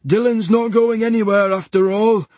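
A man voicing a calm, patronizing male hospital carer who speaks slowly and soothingly. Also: high voice, no echo, pitched above the performer's own voice, natural voice. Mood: commanding.

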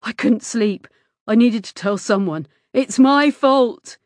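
A woman's voice: a little high, a little slow, no echo, voice pushed up, lightly acted. Woman voicing a distraught, pleading teenage boy. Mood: upset, pleading. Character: distraught tearful teenage schoolboy, guilty and pleading.

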